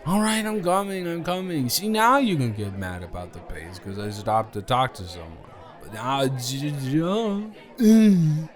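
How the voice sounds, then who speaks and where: A man's voice: a little high, measured pace, few pauses, some chatter behind, lightly acted. A young man playing a tipsy, goofy college student roommate, rambling and stammering as he talks directly to the listener in an improvised audio roleplay. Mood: giddy, flustered. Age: young adult. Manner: rambling, stammering drunken speech with giggles.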